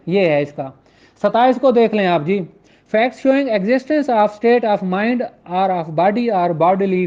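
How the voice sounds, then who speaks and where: A man with a Pakistani accent giving an informal law lecture in a conversational, questioning way. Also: sits high. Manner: conversational, poses rhetorical questions, acts out courtroom exchanges; switches between Urdu and slowly read English legal text. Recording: dull, lo-fi muffled audio.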